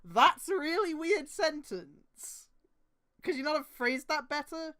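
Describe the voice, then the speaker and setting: A woman's voice: high-pitched, lively intonation, slow pace. A woman streamer reading fan fiction aloud, with casual, profane, exasperated asides and a mocking dramatic delivery. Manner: exasperated outbursts, often breaking into laughter and shouting.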